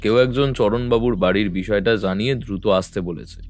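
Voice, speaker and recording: A man voicing a calm, composed detective in an audio drama, speaking in a measured, matter-of-fact way. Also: on the low side, faint music behind, close to an everyday voice. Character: calm, composed male detective, middle-aged. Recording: dry close mic.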